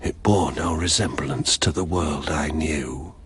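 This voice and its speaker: An actor playing a haunted, grief-stricken father who has lost everything, in brooding, halting, bitter verse for a radio drama. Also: very deep voice, music in the background. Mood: bleak. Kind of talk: poetic radio drama monologue.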